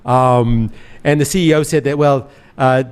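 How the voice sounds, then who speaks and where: A man's voice: medium pitch, fairly even intonation, measured pace, clear, resonant tone. A man co-hosting a casual talk radio show, chatting animatedly and quoting others in mock voices.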